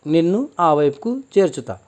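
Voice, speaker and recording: A man voicing a gentle, forgetful talking crocodile in a children's story, plain-spoken and reassuring. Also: fairly high pitch, very animated, moderate pace. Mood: earnest, pleading.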